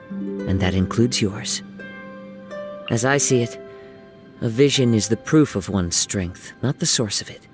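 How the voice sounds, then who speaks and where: Casting: male actor as serene young samurai wanderer for a game, gentle, poetic, mid-pitched, music underneath. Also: lightly acted. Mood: calm, reflective. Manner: soft-spoken, reflective, lyrical phrasing. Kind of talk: video game character voice lines.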